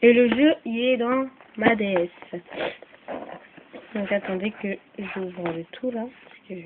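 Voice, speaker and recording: High-voiced woman with a cold. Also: steady tone, slow pace. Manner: rambling, full of "euh" and "voilà", apologetic. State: has a cold, coughing. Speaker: channel host selling her used items. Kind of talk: casual chatty sales video. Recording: tinny lo-fi phone-like recording with faint noise.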